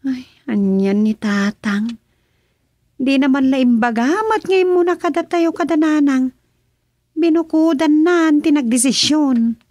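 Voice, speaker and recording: A woman as a fretful wife in a radio drama, her voice high and questioning. She sounds anxious about her family. The recording is dry and close. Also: measured pace, darkish tone, no echo. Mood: worried. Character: worried wife named Rosa, fretting over family.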